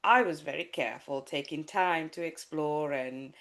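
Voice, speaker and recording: A low-pitched woman from Sri Lanka speaks as a guest on a podcast. She talks candidly and haltingly, often restarting her sentences and stopping on fillers as she works through a personal story. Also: fairly bright tone. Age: adult with a child. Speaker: life coach, guest.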